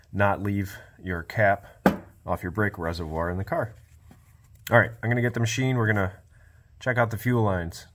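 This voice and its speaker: A man narrating his small-engine repair work in a casual, quiet, low-key way, recorded on a cell phone in a home workshop. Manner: quiet, low-key, self-described monotone.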